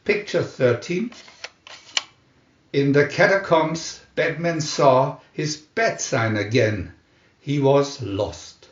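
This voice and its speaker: A man narrating a scripted storybook in a deliberate, theatrical announcing manner. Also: mid-range voice. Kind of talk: dramatic scripted storybook reading. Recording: dull lo-fi, roomy echo.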